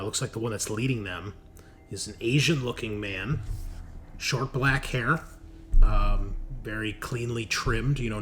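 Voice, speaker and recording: Man, game master, lowish voice, improvised scene narration, searching for words, slightly noisy room. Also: fairly bright tone.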